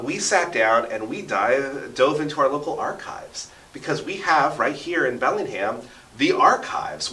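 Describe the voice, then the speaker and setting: Bright-voiced man telling stories, roomy sound. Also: mid-range voice, recorded from a distance, slight background noise. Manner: emphatic, often checks in with "right?". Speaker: interview guest, radio drama producer and local history enthusiast. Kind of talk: animated conversational storytelling. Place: radio studio. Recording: roomy, echoing, away from the mic.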